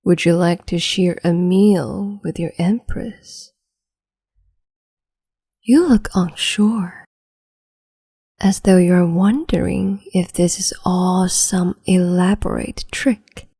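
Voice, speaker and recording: A woman voicing a cruel, capricious empress in a whispered roleplay, slow, mocking and condescending, close to the mic. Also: fairly low pitch, fairly even intonation, dark tone, heavily acted. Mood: silky menace. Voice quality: whispery, breathy.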